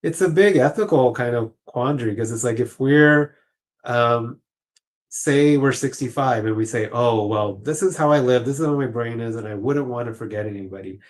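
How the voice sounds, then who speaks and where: A man of average pitch talks in a conversational panel discussion. He feels his way through his thoughts out loud, halting and tentative, like a social worker explaining his work. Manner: halting, tentative, thinking aloud. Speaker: clinical social worker guest.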